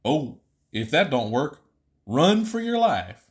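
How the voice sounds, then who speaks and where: A man's voice: a little high, sing-song, slow, pitched above the performer's own voice. A man voicing a nervous, eager young alien astronaut trainee with hesitant, earnest answers in an audiobook story. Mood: earnest, reciting. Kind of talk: audiobook character voice.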